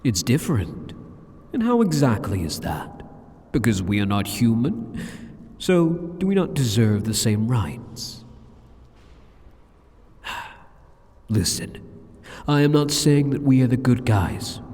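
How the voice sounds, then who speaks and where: Guy doing a smug seductive demon king for asmr roleplay, mellow n husky, taunting, big throne-room echo. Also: medium pitch, big pitch swings, slow pace, pauses often, exaggerated voice. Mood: wry, reasoning. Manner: theatrical, smug, taunting, condescending. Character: arrogant, seductive, sadistic male demon king taunting a hero. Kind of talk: ASMR roleplay, scripted monologue. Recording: long cavernous reverb.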